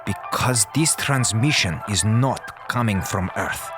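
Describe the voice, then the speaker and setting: A man's voice: on the low side, lively intonation, slow, over music. An actor playing a brusque, pedantic male doctor of science in an audio drama, speaking in formal, precise phrases. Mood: grave, urgent. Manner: formal, clipped, precise diction.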